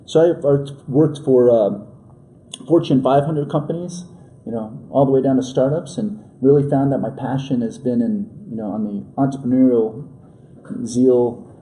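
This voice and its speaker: A man in his forties from New York, a podcast guest who talks in a halting, casual way full of fillers and restarts. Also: mid-range voice, warm tone, slight background noise, poor audio. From New York, USA. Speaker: guest entrepreneur.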